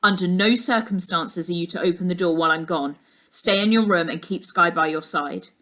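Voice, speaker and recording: A woman voicing a serious ex-detective uncle in a storybook, speaking in a measured, authoritative way. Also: on the low side, sharp tone. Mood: urgent, authoritative. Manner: measured, commanding, matter-of-fact.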